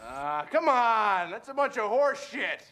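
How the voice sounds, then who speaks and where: Very high-pitched, brash, indignant man acted by a man. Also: sing-song, measured pace, sharp tone, full character voice. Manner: exasperated, argumentative.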